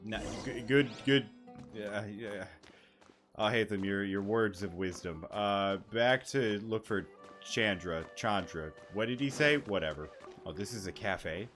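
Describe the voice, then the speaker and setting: A man's voice: on the low side, unhurried, a bit bright. A man giving casual let's-play commentary, blunt and sweary, with muttered asides. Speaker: gaming streamer reacting to a story quest. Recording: background game music.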